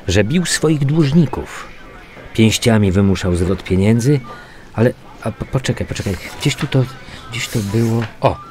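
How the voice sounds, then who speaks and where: An actor playing a courteous police inspector who muses aloud in halting, conversational speech in a radio drama. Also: male voice, medium pitch, warm tone, natural voice.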